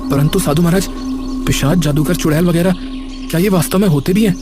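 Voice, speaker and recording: Guy doing poor young wanderer in a cartoon, humble n earnest, slightly high voice, music behind. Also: quick pace, close to an everyday voice. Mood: doubtful, respectful. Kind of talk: Hindi cartoon story character dialogue.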